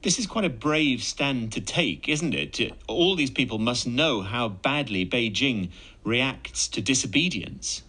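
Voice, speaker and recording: Bright-voiced male radio news presenter. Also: medium pitch, expressive, a little slow, breathy edge. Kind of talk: broadcast news interview.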